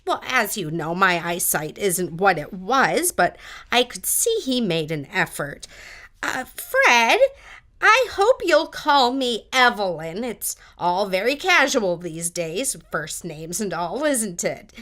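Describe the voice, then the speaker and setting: A woman's voice: medium pitch, big pitch swings, takes it slowly, sharp tone, exaggerated voice. A woman playing a chatty, genteel older neighbor lady in an audio drama, with gracious, old-fashioned manners.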